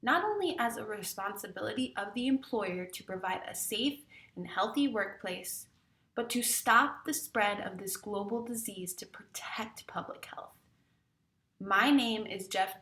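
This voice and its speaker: A woman's voice: medium pitch, bright, forceful tone. Woman giving a formal address, echoey room. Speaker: industrial hygienist and nurses' union spokesperson. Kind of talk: prepared public address, read statement.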